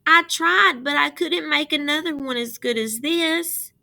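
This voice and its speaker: Woman acting an indignant, high-pitched little schoolgirl. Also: unhurried, vocal fry, pushed and bright, heavily acted. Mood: upset, whining. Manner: whining, exclaiming. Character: upset, indignant young schoolgirl.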